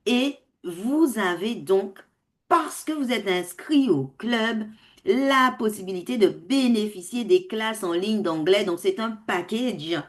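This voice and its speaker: A woman hosting an online information meeting for parents on a video call, speaking in an animated way, laughing often and changing pace. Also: medium pitch. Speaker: host of a parents' club.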